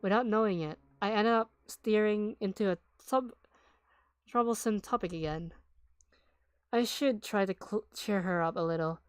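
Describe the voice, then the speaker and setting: A woman's voice: mid-range voice, normal speed, smooth, no echo, lightly acted. Thoughtful teenage boy narrator, read in a woman's own voice; plain, conversational. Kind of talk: visual novel narration read aloud.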